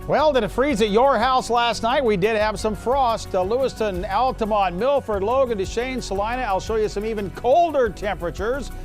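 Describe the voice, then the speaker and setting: A man's voice: high voice, moderate pace, hardly any pauses, soft background music. A man presenting a short TV weather tease in a chatty ad-libbed style.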